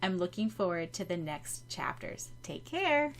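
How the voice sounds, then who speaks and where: A woman's voice: fairly low pitch, big pitch swings, unhurried, vocal fry, a little hiss or hum. A woman from the United States co-hosting a podcast, chatting casually and reading out comments in an effusive, giggly manner. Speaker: podcast co-host.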